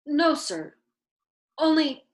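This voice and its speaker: Storybook chaplain, male, read by a woman: timid, deferential, careful and polite, on the high side. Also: sing-song, drawn-out words, exaggerated voice. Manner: polite, tentative. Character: meek, hesitant male army chaplain, deferential.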